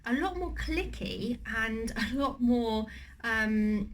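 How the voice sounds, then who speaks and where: A woman's voice: on the high side, expressive, slow, slight background noise. Chatty young woman with a cold. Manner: chatty and rambling, many ums and trailing restarts. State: has a cold, slightly wheezy. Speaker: vlogger. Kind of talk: casual cooking vlog and eating on camera.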